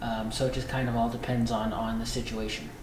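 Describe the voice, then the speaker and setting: An American man talks in an explanatory interview style, halting and repeating phrases as he goes. His voice has a medium pitch. It sits in an echoey room with faint noise behind it. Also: flat delivery. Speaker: police dispatcher being interviewed.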